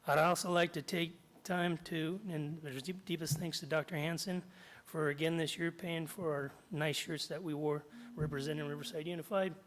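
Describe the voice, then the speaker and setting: A middle-aged man, slightly hoarse after jaw surgery, reading prepared remarks at a podium in a large meeting hall and stopping often to clear his throat. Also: fairly high pitch, steady tone, normal speed, fairly bright tone, slight background noise. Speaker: union chapter president. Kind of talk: public comment at a board meeting. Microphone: podium microphone.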